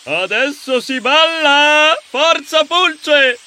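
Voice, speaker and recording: A man's voice: sits high, sing-song, moderate pace, pushed and bright, voice pushed up, heavily acted. A man voicing a boisterous, cheerfully bumbling muscular hero in a storybook, with casual, exclamatory, teasing delivery. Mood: excited, rousing. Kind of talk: audiobook character acting.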